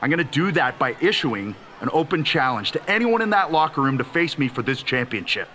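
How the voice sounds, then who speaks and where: Forceful veteran hero wrestler. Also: male voice, fairly high pitch, very animated, a little fast, slight background noise. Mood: defiant. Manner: earnest, forceful. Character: proud, determined veteran hero wrestler, new champion. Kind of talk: wrestling promo acting.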